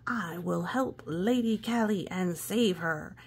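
A woman's voice: on the low side, takes it slowly, darkish tone, slightly rough, full character voice. A woman voicing a brave, eager male cat knight from a children's storybook, declaring lines in exclamations. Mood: determined, gallant.

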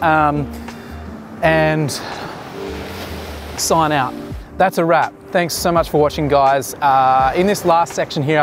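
A relaxed man in his thirties talks through a photo shoot on an open beach, his voice a little on the high side. He rambles with fillers and restarts. Music runs beneath him. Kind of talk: directing a photo shoot and explaining to viewers. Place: open sandy beach at sunset, outdoors.

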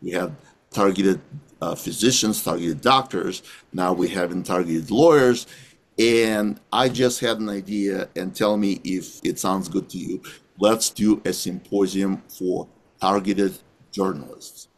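A middle-aged man co-hosting a podcast over a video call, talking in an earnest, measured way from a small room. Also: low-pitched, fairly even intonation. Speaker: podcast co-host. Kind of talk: casual video-call chat.

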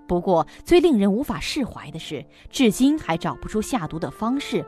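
A woman's voice: medium pitch, sing-song, moderate pace, dark tone, soft background music. A woman reading scripted narration for a suspense audio drama.

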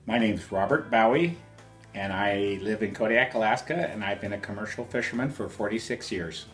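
An older commercial fisherman speaks in a low voice, pausing often with fillers as he answers questions. He sits indoors in an office, with quiet guitar music playing underneath. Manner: hesitant, with frequent fillers. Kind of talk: interview answers.

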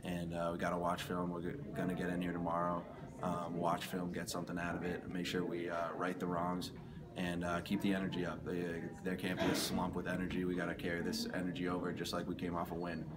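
A young team captain answers postgame questions in a hallway, steady and measured with fillers. His voice is very low and almost monotone. Recorded from a little way off, with loud background noise behind him. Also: far from the mic. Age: young adult. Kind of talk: postgame interview. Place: indoor hallway.

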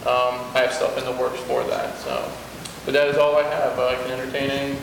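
A man gives a city service update in a flat, even voice, pausing often on fillers. He is picked up by a distant camera in a large wood-paneled hall with a long, ringing reverb. A faint noise runs underneath. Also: medium pitch, gravelly. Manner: plain, halting, many fillers. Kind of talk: spoken report to a council. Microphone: far room camera. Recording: echoey hall from far off, noisy.

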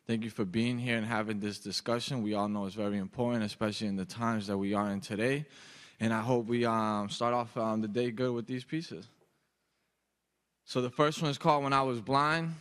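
Young man, sharp bright voice, rhythmic emphatic poet's delivery, long auditorium reverb. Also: lowish voice, steady tone, a little fast, clear, resonant tone. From Boston, United States. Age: young adult. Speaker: spoken-word poet. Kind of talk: live spoken-word poetry performance. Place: large auditorium stage, indoors. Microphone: microphone on a stand.

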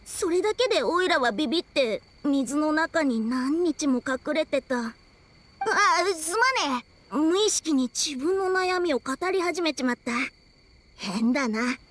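A small kappa boy in an anime game. High-voiced and self-deprecating, he talks in a timid, rustic boyish way. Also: lively intonation, measured pace. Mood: anxious, apologetic. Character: cowardly, apologetic little kappa boy. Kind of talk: Japanese anime game voice acting.

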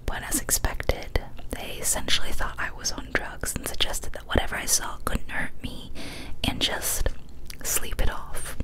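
Young woman whispering a scary story. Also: poor audio. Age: young adult. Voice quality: breathy, unvoiced whisper. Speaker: ASMR storyteller. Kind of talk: whispered ASMR reading of a first-person horror story. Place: small cozy indoor room. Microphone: close studio condenser mic on a boom arm.

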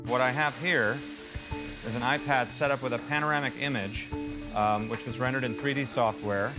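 A man's voice: mid-range voice, steady tone, normal speed. A middle-aged man giving an informal, slightly hesitant explanatory walkthrough of a film set. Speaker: film production designer. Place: large unfinished film set, indoors. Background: music.

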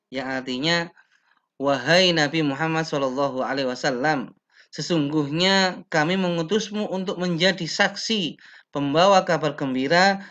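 A young man from Indonesia, a teacher, reads lesson slides aloud at a slow, measured pace, pausing and filling gaps with "eh". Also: a little high, fairly bright tone, muffled recording. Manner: slow, measured reading with pauses and fillers. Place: indoor room.

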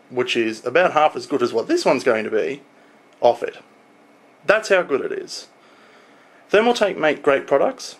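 A young adult man talking informally to a camera in a home room, explaining things in a halting, casual showcase style. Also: on the high side, creaky. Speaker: tech vlogger. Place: small home room, indoors.

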